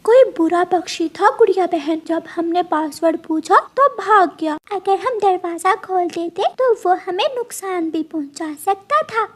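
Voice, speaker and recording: An earnest little chick from a cartoon fable, voiced by a woman. The voice is extremely high and childlike. It speaks slowly, eager and careful. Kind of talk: animated moral story voice.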